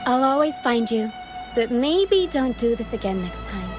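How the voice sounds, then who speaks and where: An actress playing a gentle, protective young woman in a video game, speaking in a calm, soft and solemn way. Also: fairly high pitch, moderate pace. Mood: tender. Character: gentle, protective young woman guiding others through mist. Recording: game music behind the voice.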